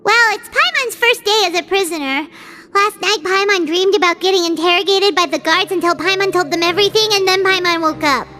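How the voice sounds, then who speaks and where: A woman voicing a small, excitable female fairy sidekick in a video game, childlike and squeaky, dramatic and fond of calling herself by name. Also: a little fast. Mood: chatty, sheepish. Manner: dramatic, exclamatory, refers to self by name. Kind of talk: animated video game voice acting. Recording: music behind the voice.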